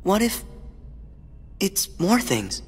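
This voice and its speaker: A man's voice: high-pitched, big pitch swings, very slow, a little hiss or hum, heavily acted. A young man voicing an earnest, youthful teenage boy hero in a video game, sincere and a little hesitant. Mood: troubled. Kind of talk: video game cutscene voice acting. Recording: long hall reverb, music behind.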